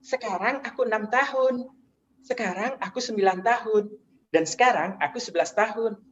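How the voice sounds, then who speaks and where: A man's voice: high-pitched, moderate pace, a bit bright, pitched above the performer's own voice. A man voicing a small child of about three, in a chirpy childlike way, on dull lo-fi audio.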